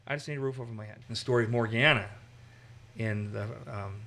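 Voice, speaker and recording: Bright-voiced man teaching scripture. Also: mid-range voice, brisk. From Massachusetts. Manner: animated, acts out quotes in raised voices. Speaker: Bible teacher. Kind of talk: conversational Bible study.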